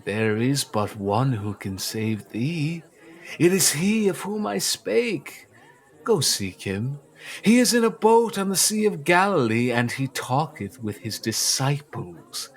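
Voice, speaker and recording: An actor playing a stern, doom-laden prophet, solemn and declamatory, in a theatrical audio drama. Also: male voice, medium pitch, very animated, takes it slowly, some chatter behind, full character voice. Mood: solemn rebuke.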